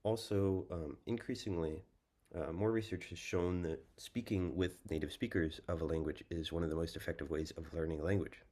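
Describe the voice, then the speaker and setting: A young American man giving a prepared student presentation, speaking in a measured, thoughtful way with frequent ums. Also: deep voice, steady tone, a little mellow. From United States. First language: English. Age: young adult.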